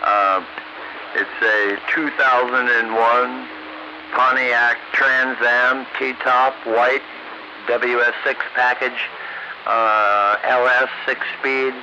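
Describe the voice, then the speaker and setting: An American man talks casually in a mid-range voice, starting, stalling and repeating himself. The CB radio sound is dull and lo-fi, with clear noise over it. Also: medium pitch, little pitch movement. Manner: halting, repeats words, stammering starts. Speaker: CB radio operator. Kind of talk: casual chat. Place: live CB radio feed.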